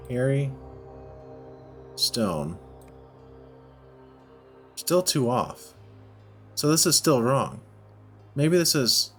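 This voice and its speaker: A man giving casual gameplay commentary, thinking out loud in short, halting phrases. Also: medium pitch. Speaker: let's play host. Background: music.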